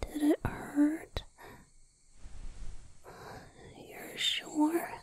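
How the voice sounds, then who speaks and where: A woman voicing a gentle, apologetic young male vampire boyfriend in a soft, halting whisper for a romantic ASMR roleplay. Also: high voice, flat delivery. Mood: tender, coaxing. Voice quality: breathy, husky whisper.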